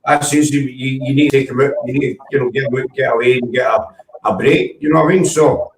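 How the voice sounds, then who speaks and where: A man's voice: mid-range voice, fairly even intonation, fast talker. A man on a casual video chat from home, rambling and joking with the others. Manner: jokey, rambling banter. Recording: echoey room, far from the mic, faint noise.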